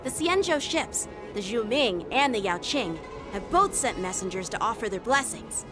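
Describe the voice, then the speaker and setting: A woman's voice: on the high side, moderate pace, close to an everyday voice. A woman voicing an eager, chatty teenage boy swordsman in a game. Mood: cheerful. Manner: bright and chatty, animated. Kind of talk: game voice acting. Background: game soundtrack music.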